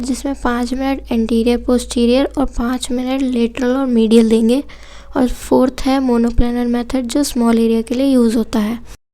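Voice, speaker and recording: Indian lady doing a simple explainer lesson, high mellow voice, goes point by point. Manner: simple, point-by-point explaining with English technical terms mixed in. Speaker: physiotherapy lecturer.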